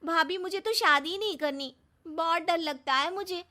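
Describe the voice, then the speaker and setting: A woman's voice: extremely high pitch, moderate pace, sharp tone, voice pushed up. A woman voicing an earnest, anxious young sister-in-law in a cartoon moral story. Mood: worried, pleading. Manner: anxious, pleading.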